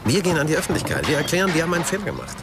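A man's voice: on the high side, wildly swooping pitch, very fast, loud music behind the voice. An actor playing an earnest, uneasy male press spokesman in a German radio crime drama, arguing and pleading. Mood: earnest, urging. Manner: pleading, argumentative.

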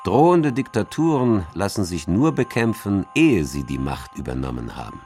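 A man giving a solemn literary recitation of a speech, with rhetorical emphasis and weighty pauses. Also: deep voice, big pitch swings, darkish tone, faint music behind. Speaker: stage reader of a quoted speech.

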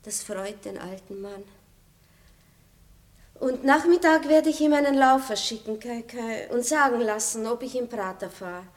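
An actress playing a gracious, aging aristocratic lady in a 1960s radio play, speaking softly with a tinge. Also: fairly high pitch, close to an everyday voice. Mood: brisk, commanding. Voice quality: soft, measured, melancholy. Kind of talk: 1960s radio drama acting.

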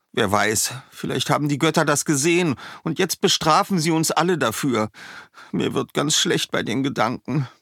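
A man voicing an earnest, anxious young villager who explains things eagerly, with a husky voice. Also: mid-range voice, fairly bright tone, no echo, clean studio sound, pitched above the performer's own voice. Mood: ashamed.

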